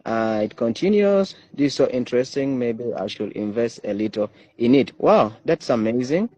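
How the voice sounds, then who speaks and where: A man hosting an online interview show, reading viewer comments aloud and pausing often on fillers. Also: medium pitch, animated, a little mellow, dry recording. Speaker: host.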